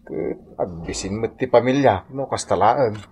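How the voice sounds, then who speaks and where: A man's voice: fairly low pitch, unhurried, darkish tone, husky. A man playing a humble older brother, deferential and coaxing, in an Ilocano radio drama. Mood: pleading. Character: humble, pleading older brother asking his sister for capital.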